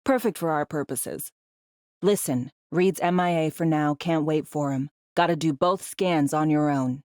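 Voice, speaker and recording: A tough, sardonic spy handler from a cyberpunk video game. Her voice sits fairly low in a very dry studio sound. She talks in clipped slang, bossy and teasing, like someone used to running operations. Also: unhurried, a little mellow, no echo. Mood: encouraging, wry, then focused, tense. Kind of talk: video game voice acting, briefings and radio guidance.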